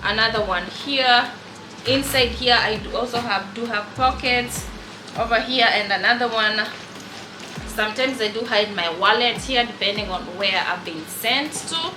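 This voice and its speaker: A woman's voice: on the high side, a little slow, echoey room, music in the background. A young woman nurse from Kenya with a Kenyan accent, chatting casually and rambling through her work gear with many fillers. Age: young adult. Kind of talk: casual show-and-tell vlog.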